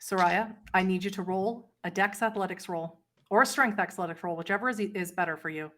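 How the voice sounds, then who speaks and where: A woman's voice: medium pitch, a little fast, fairly bright tone, slight background noise. A woman narrating a tabletop roleplay game as storyteller, addressing the players with dramatic second-person description.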